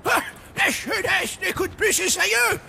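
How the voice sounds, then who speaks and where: A man playing a cranky, protective old zombie grandfather in a cartoon, gravelly and full of indignant bluster. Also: very high voice, a little slow, slight background noise, heavily acted. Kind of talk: French cartoon dub acting. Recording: loud music and crowd behind voice.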